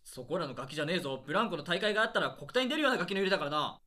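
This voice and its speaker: A man acting as an overbearing, pompous theatre director in a radio drama, gruff and scolding in heated comic dialogue. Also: high voice, expressive, racing, sharp tone, exaggerated voice. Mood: gruff instructing. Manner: gruff, scolding, rough-spoken.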